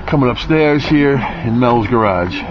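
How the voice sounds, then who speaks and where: A man's voice: medium pitch, expressive, unhurried, a crowd in the background. A man casually narrating an off-the-cuff tour of his radio hobby gear, with jokey, self-mocking boasting. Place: cluttered garage and radio room, indoors. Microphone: handheld camera's built-in mic. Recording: muffled handheld camera audio with clear noise.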